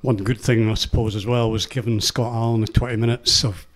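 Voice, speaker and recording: A man's voice: medium pitch, fairly even intonation, brisk. A man interviewing a football manager after a match for a club channel, asking short, polite questions.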